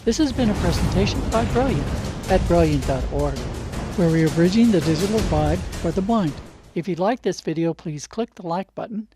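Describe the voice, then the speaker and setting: A man with a notably high-pitched voice reads a scripted announcement. Faint music plays behind the narration. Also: expressive, a little fast. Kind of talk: scripted intro and outro narration.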